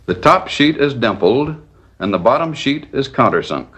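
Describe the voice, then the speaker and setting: A man narrating a scripted 1940s instructional film in a measured, didactic, step-by-step manner. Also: mid-range voice, big pitch swings, fairly bright tone, slightly rough.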